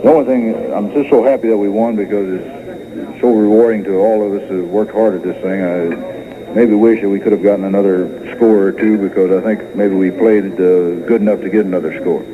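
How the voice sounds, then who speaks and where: Lowish coach guy answering haltingly after the game, repeats himself, crowd all around. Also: fairly even intonation. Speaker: football coach being interviewed. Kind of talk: post-game interview. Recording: tinny radio line with crowd noise. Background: crowd noise.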